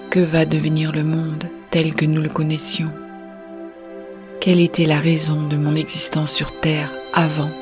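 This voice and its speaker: An actress playing an awed, yearning woman lost in a collective mind, in a slow, hushed, solemn science-fiction audio monologue. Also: low voice. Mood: questioning awe. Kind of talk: slow introspective sci-fi audio drama monologue. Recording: loud music under the voice. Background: new-age music.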